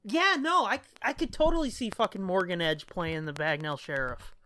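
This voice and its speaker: Bright-voiced man in casual, sweary chat. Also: sits high, moderate pace. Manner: informal, profane, animated storytelling. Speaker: podcast co-host.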